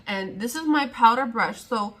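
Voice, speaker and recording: A woman's voice: fairly high pitch, animated, normal speed, bright, forceful tone, rough recording. Rambling, chatty woman vlogger. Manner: rambling, chatty, exasperated about packaging. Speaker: beauty vlogger. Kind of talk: casual sit-down chat to camera.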